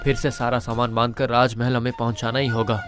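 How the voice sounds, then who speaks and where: A man's voice: medium pitch, little pitch movement, fairly quick, over music, close to an everyday voice. A man voicing a kindly older master and father in a cartoon, fretful and concerned. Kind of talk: Hindi moral-story cartoon dialogue.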